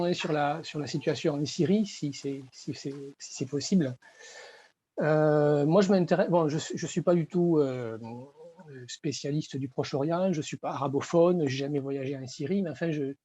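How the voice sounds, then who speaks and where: A man's voice: on the high side, dark tone, breathy edge, lo-fi sound. A man from the audience, hesitant and polite, asking questions during a film discussion. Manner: hesitant, polite, many fillers.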